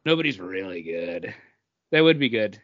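A man's voice: deep voice, sing-song, normal speed, no room sound, highs cut off. A man co-hosting a podcast, speaking casually in informal conversation. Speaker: podcast co-host. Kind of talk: casual chat.

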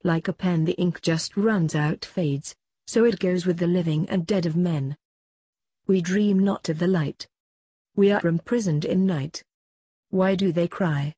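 A woman voicing a gentle, fading female ghost, a sympathetic friend, speaking plainly in a podcast story. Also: fairly low pitch, measured pace, slightly rough, dry recording. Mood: somber.

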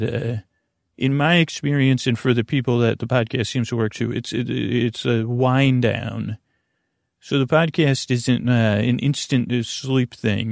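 Male sleep-podcast host: fry-heavy voice, dry sound, meandering, halting, low-pressure informal delivery. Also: no room sound. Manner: halting, meandering, repeats and trails off mid-thought. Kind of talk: rambling, informal bedtime podcast monologue.

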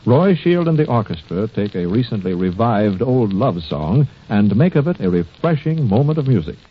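American 1940s radio announcer man, lively pitch. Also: moderate pace, husky. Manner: polished, formal phrasing. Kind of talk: 1940s radio music program announcing, scripted narration. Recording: dull, noisy 1940s radio recording.

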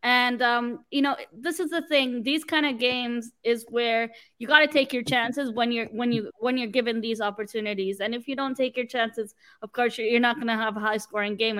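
A woman's voice: on the high side, steady tone, a little fast, few pauses, fairly bright tone, clear, resonant tone. A woman from Canada, a guest on a football fan show, chatting casually about a match with many fillers and restarts.

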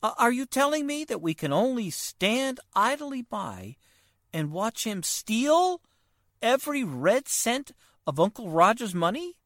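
Actress as an anxious, stammering, swooping-voiced client. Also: female voice, fairly high pitch, sing-song, a little slow, a bit bright, no room sound, studio quality, heavily acted. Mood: distressed, pleading. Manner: stammering, hesitant, earnest. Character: anxious, hesitant client fearing an uncle is swindled. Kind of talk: old-time radio drama acting.

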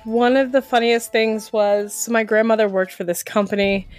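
High-voiced American woman chatting over music. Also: normal speed. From eastern Pennsylvania, US. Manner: animated storytelling, acts out quoted remarks. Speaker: podcast co-host or guest. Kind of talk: casual chat, telling childhood stories.

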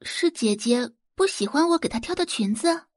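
Woman doing a sweet fake-nice young rich girl, soft and innocent, swoopy pitch, dry audiobook sound. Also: lively intonation, normal speed, dry recording. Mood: sweetly puzzled. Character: sweet, falsely considerate young society belle, daughter.

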